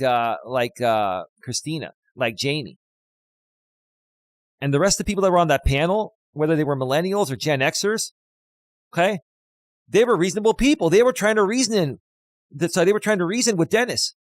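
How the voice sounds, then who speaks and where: Male life coach, 50, loud and emphatic, slightly high-pitched, dry close studio mic. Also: no room sound. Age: 50s. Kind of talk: live stream commentary reading chat. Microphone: studio dynamic mic.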